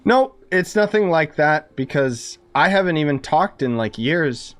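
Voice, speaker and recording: A man's voice: fairly high pitch, lively intonation, unhurried, fairly bright tone. A man giving casual, slangy, sarcastic commentary as he streams a story game. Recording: faint game music behind commentary.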